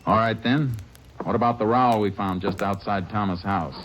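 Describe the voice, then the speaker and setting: An actor playing a calm, firm male Texas Ranger who narrates and questions suspects in steady, measured tones, in 1940s radio drama style. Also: on the low side, a little hiss or hum, close to an everyday voice, poor audio. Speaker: narrator and lead character.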